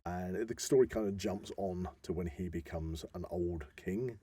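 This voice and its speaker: A man's voice: low voice, normal speed, mellow, husky. A man explaining things enthusiastically in a casual podcast chat, often wandering into asides as he tells the story. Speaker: podcast host.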